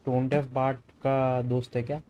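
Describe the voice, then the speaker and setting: A man's voice: medium pitch, flat delivery, rapid-fire, dull audio. A man giving playful, casual gaming commentary as a streamer, mixing Hindi and English.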